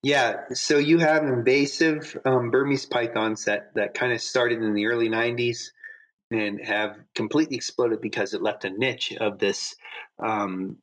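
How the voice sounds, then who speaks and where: A man's voice: mid-range voice, rough recording. A man as a podcast guest in casual chat, telling upbeat, rambling stories full of fillers. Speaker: podcast guest, knife designer.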